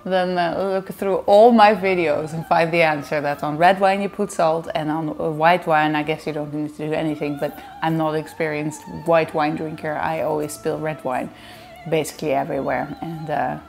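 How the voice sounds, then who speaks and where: A woman's voice: sits low, steady tone, normal speed, creaky, a little mellow, soft background music. A woman in her thirties with a Dutch accent, chatting playfully to camera from a sofa as she answers fan questions. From Netherlands. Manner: chatty, playful, laughs often. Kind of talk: casual chat to camera. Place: indoor living room, seated on a sofa.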